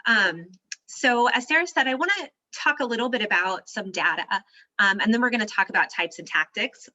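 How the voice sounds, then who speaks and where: Bright-voiced woman, slightly hoarse trainer. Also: a little high, animated, brisk, no room sound, muffled recording. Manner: explanatory, clears throat now and then. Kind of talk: virtual training presentation. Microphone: video call.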